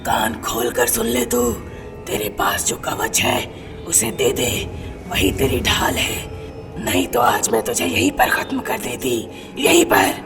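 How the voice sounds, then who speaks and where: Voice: mid-range voice, huge pitch swings, exaggerated voice. Whispering, vengeful ghost witch. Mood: threatening. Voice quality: breathy whispering with cackling laughs. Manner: taunting, drawn-out threats. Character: vengeful female ghost witch, gloating, jealous and menacing. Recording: loud eerie music behind.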